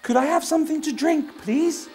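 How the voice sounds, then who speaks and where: A woman's voice: high voice, moderate pace, darkish tone, faint music behind, pitched above the performer's own voice, close to an everyday voice. A woman voicing a small, sad ugly duckling who pleads timidly and sounds close to tears. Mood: timid hopeful.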